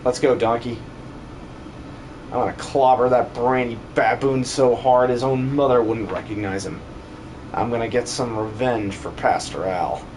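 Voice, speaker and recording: A man playing a hot-headed young fighter in a fantasy game fan dub, blunt and cocky, with a mid-pitched voice. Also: fairly even intonation, a little slow, darkish tone, slight background noise, lightly acted, poor audio. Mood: vengeful.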